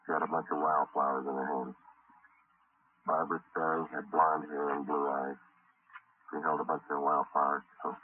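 Deadpan cop narrator, old radio show, really low voice, clipped lines, muffled old recording. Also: male voice, moderate pace, long pauses, a little hiss or hum. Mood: somber. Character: stoic, matter-of-fact male police detective who narrates the case. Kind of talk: 1950s radio drama acting and narration.

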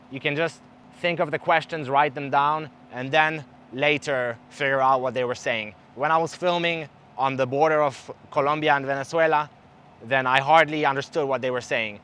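A young man with a mixed accent that is partly South African talks straight to the camera in a relaxed, animated way, moving his hands as he speaks. His voice sits at an ordinary middle pitch. Faint city noise hums behind him on an outdoor balcony at night. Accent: mixed English, partly South African. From Israel. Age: young adult. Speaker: travel vlogger. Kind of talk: casual talk to camera.

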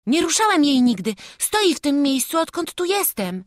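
A woman's voice: sits high, very animated, normal speed, no room sound, studio quality, voice pushed up. A woman voicing a frightened, reluctant teenage servant boy in an audiobook, with a whiny, anxious rustic way of talking. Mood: puzzled. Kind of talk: audiobook storytelling.

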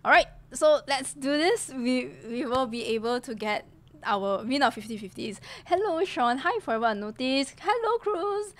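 A young woman in her twenties streaming from a small room into a desk mic, chatting casually with viewers in a slightly hoarse voice. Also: a little high. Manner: chatty, greets viewers, many fillers. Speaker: livestreamer. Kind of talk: casual gaming stream chat. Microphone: desk mic in front of her. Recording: music playing behind the voice.

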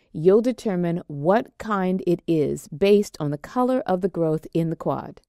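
A woman reads a scripted lab walkthrough in a steady, measured way, one step at a time. Her voice is fairly low and mellow. The recording is dry and close, with no room sound. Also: dry recording. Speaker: extension educator. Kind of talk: scripted instructional narration.

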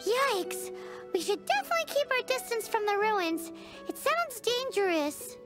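A woman's voice: very high voice, big pitch swings, a little slow, full character voice. A woman voicing a tiny, childlike floating fairy companion who is fretful and easily startled, in an anime-style video game. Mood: alarmed. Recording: game music behind the voice.

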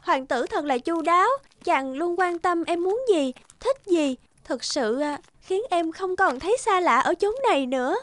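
A woman's voice: extremely high pitch, expressive, a little slow, hardly any pauses, dry recording. A woman voicing a vain, scheming young maidservant who poses as a princess in a cartoon fairy tale, haughty and falsely sweet. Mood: gushing sweetly. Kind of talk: animated fairy-tale character acting. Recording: music under the voice.

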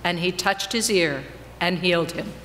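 A woman giving a solemn, measured dramatic reading of scripture as a reader in a church. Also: on the low side, fairly bright tone. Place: church, before a congregation. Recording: reverberant church hall, faint background noise.

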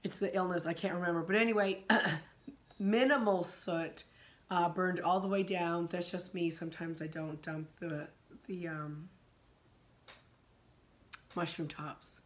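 A middle-aged woman, hoarse from a sinus infection, chatting casually about candles in her living room, rambling with many ums and restarts. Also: fairly low pitch, steady tone, measured pace, long pauses. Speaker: vlogger. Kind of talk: casual chat reviewing candles.

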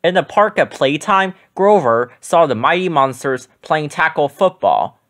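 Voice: mid-range voice, sing-song, a little slow, fairly bright tone. A storyteller reading a children's picture book aloud as scripted narration.

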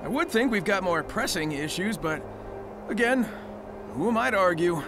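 A man's voice: high-pitched, animated, normal speed. A male actor playing a cocky, brave, easygoing young hedgehog hero in a video game, breezy and wry. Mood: wry, teasing. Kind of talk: video game cutscene acting. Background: loud game music.

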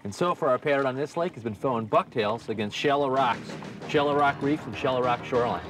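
A man's voice: mid-range voice, a little fast, over music. A man in his thirties hosting an instructional fishing show from a boat on a lake, upbeat and coaxing as he talks the viewer through his method. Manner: upbeat, coaxing the fish while reeling. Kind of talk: instructional outdoor fishing talk. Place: small boat on an open lake outdoors.

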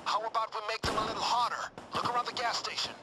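A man's voice: high-pitched, steady tone, fast, loud music behind the voice, close to an everyday voice. An actor playing a commanding criminal mastermind who directs his heist crew in a video game. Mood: urgent, directing. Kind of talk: video game mission radio chatter. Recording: loud gunfire over the voice.

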